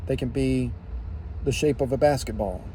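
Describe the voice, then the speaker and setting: A man giving an unscripted opinion monologue in deliberate, halting phrases, with emphatic repetition. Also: medium pitch, mellow, slight background noise.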